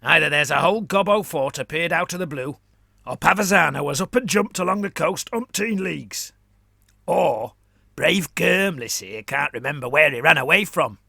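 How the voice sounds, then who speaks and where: A man voicing a grinning, brutal male orc warboss who growls and gloats in rough slang. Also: on the high side, lively intonation, moderate pace, pushed and bright, no room sound, clean studio sound, pitched above the performer's own voice, heavily acted. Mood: gloating.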